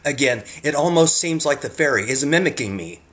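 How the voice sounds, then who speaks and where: A man's voice: mid-range voice, lively intonation, fairly quick, fairly bright tone. A man reading scripted narration in an earnest way, speaking directly to the viewer as he presents his work. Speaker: narrator presenting own photographs.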